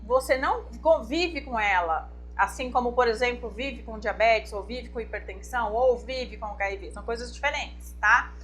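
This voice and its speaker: A woman's voice: on the high side, normal speed, fairly bright tone, slight background noise. A woman doctor from Brazil, explaining a medical condition to viewers in a didactic talk, often checking in with them. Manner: didactic, addresses viewers directly with check-ins. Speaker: infectious disease doctor. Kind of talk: explanatory health talk to camera.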